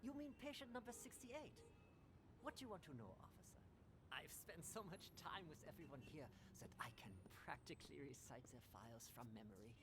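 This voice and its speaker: A woman's voice: deep voice, lively intonation, fairly quick, background noise. An actress playing a young, evasive mental institution administrator in a radio drama, chatty and hesitant as she over-explains. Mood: apologetic, smooth. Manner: breezy, nervous, over-explaining with hesitant trailing off. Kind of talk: interactive radio drama acting.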